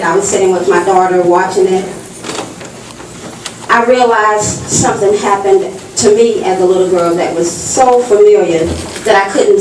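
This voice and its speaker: A woman in her fifties telling a personal story at a public meeting, in deliberate, dramatic phrases broken by pauses. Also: medium pitch, noisy background. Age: 50s. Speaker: audience member giving testimony. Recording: distant mic in very echoey hall.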